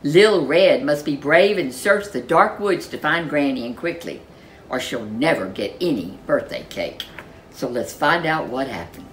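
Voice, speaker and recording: A woman storyteller reading a children's storybook in an expressive, animated way, with dramatic emphasis. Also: low voice, unhurried, slight background noise.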